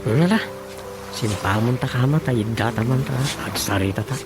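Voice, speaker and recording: A man's voice: mid-range voice, moderate pace, close to an everyday voice. A man acting an earnest, problem-solving village husband in an Ilocano radio comedy drama. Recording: radio drama with music bed behind.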